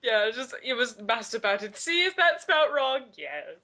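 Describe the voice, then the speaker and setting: Young female reader for a comedic read-along: bright, very animated, incredulous, quick to giggle. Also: fairly high pitch. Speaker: player in a comedic dramatic reading game. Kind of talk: mocking group read-aloud with banter.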